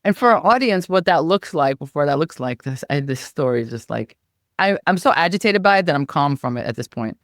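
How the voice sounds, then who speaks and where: A woman's voice: deep voice, lively intonation, a little fast, studio quality. A woman hosting a casual interview, chiming in with agreement, echoing her guest and explaining things to listeners. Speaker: podcast host, nurse practitioner.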